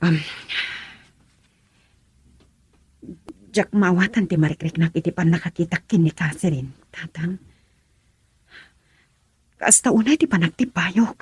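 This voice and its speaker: Middle-aged mother, Ilocano Filipino accent, radio drama, husky, lowish voice, emotional. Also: expressive, moderate pace, long pauses. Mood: shaken.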